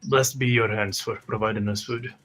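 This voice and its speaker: A man improvising as a tabletop player, halting and earnest, with formal wording. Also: lowish voice, steady tone, fairly bright tone. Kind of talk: improvised roleplay, describing his character's actions.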